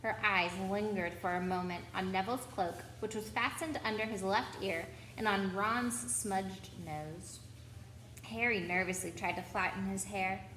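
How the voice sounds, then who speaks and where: A woman's voice: medium pitch, fairly even intonation, a little slow, a bit bright. A woman reading a children's story aloud, with chatty asides to her young listeners. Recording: echoey room with background noise.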